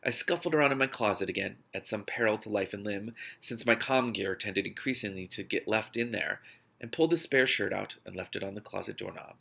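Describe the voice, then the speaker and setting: Guy reading a book out loud w/ his own side comments, lowish voice, lots of fry. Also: brisk. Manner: expressive, breaks off into amused, exasperated asides. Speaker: host of an online read-aloud series. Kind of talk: reading a novel aloud with spontaneous reactions.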